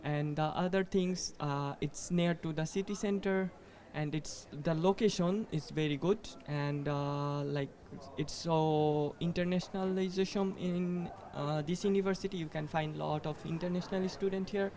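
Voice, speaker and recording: Mellow-voiced young man from Bangladesh. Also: fairly high pitch, steady tone. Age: young adult. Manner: halting, with many fillers. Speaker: student.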